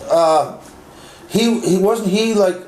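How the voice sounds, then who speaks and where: A blunt, insistent man with a slightly high voice argues his opinions casually. He repeats himself and swears freely. The recording is echoey, with faint noise behind him. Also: slow, a little mellow. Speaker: interviewee. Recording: echoey, clearly noisy background.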